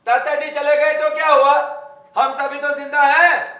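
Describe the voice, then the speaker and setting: High-voiced, earnest village family man. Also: a little slow, fairly bright tone, recorded from a distance. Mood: indignant. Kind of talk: naturalistic Hindi family film dialogue. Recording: echoey room recording.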